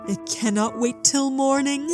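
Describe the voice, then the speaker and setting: A woman's voice: high-pitched, fairly even intonation, measured pace, music in the background. A woman playing a prim, dutiful and fussy royal caretaker pony with a formal, bossy manner in a cartoon drama. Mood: fussy, stern. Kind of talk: animated fan audio drama.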